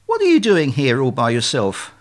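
Man acting out a male lead swan, storybook, lively intonation, well-meaning, emphatic, running short of patience. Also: mid-range voice, moderate pace. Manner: patient explaining, strained, emphatic. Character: male swan leader, well-meaning but increasingly exasperated.

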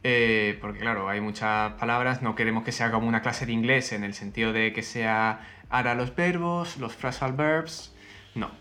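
Laid-back guy hosting, lots of ehs, easygoing chat. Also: medium pitch. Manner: relaxed, chatty, drawn-out fillers. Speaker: host. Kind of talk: casual chat.

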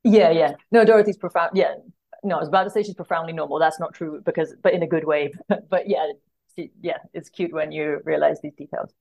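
Woman, lowish voice, gravelly fry, guest translator, relaxed conversational podcast delivery, reflective storytelling, rephrases as she goes. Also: brisk. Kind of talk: casual podcast interview chat.

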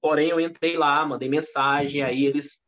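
A Brazilian man in his thirties, a guest in an interview, talking in a chatty, animated way with frequent restarts. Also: a little high, moderate pace. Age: 30s. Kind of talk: casual interview talk. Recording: dull lo-fi audio.

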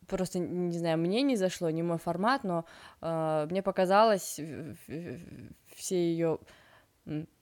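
A woman co-hosting a podcast, chatting casually about a book with many fillers and restarts. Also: on the low side. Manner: hesitant, many fillers and restarts, animated when quoting. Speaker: podcast co-host.

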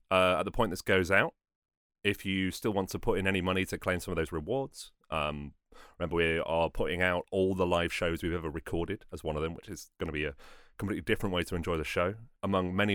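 Man, podcast host, very deep voice, animated melody, conversational, enthusiastic, dry studio sound. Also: smooth, no echo. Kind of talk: informal podcast announcement.

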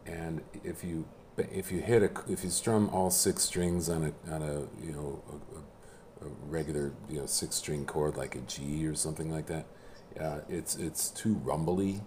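A man in casual conversation about music, speaking haltingly with many restarts and a self-deprecating manner. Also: very deep voice, steady tone, dark tone, a little hiss or hum. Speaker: musician guest. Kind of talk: casual chat.

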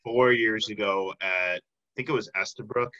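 Bright-voiced man hosting, lo-fi sound. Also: fairly low pitch, fairly even intonation, normal speed. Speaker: podcast host. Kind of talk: casual interview chat.

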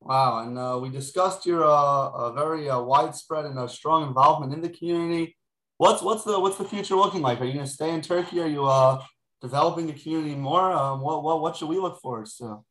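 A man interviewing a guest, asking questions haltingly and repeating words. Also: fairly high pitch, a bit bright. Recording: echoey room.

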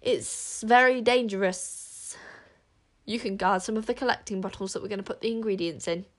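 A woman voicing a loyal, earnest male sprite who speaks slowly in dialect. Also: medium pitch, lightly acted.

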